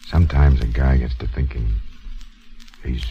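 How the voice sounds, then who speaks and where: A man playing a humbled, apologetic friend in a vintage drama, speaking in halting, plain words. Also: very deep voice, steady tone, lo-fi sound, faint music behind. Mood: contrite. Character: humbled male friend, jealous in love, apologetic.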